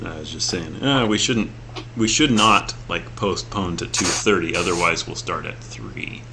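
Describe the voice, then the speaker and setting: A man with a deep, clear voice chatting casually before a tabletop game, laughing easily as he tells stories. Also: a little slow, noisy background. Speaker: player. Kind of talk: casual pre-game chat.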